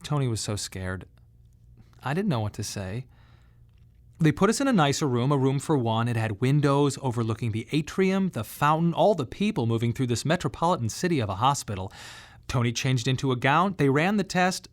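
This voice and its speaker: A man delivering scripted dramatic narration in a radio monodrama, reflective and measured, with emphatic pauses. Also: mid-range voice, lively intonation. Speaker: playwright performing his autobiographical monologue.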